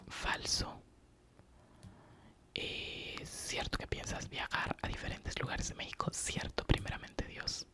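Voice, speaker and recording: A man from Oaxaca with a Mexican accent, whispering an ASMR question-and-answer session with short true-or-false replies. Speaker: ASMR youtuber.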